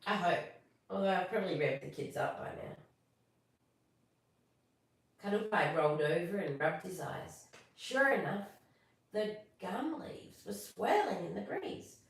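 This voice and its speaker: An Australian woman hosting a live stream, moving between casual chat and expressive storybook reading. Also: on the low side, a little slow, pauses often. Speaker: live stream host reading bedtime stories. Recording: echoey room, distant from mic.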